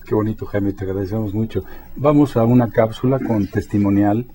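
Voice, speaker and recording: A man's voice: lowish voice, fairly quick, dark tone. A man hosting a university television talk show and addressing viewers politely. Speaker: TV host.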